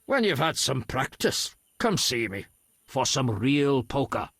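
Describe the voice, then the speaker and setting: A man playing a serene, courteous druid in a video game, gentle, formal and unhurried. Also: mid-range voice, very animated, husky, no room sound, studio quality, heavily acted. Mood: wry. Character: serene, courteous male druid elder, lover of nature. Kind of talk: video game dialogue acting.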